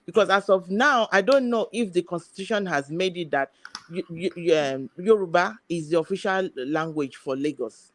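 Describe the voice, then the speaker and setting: A woman's voice: fairly low pitch, normal speed. A woman arguing on a live panel, hesitant with many fillers and restarts.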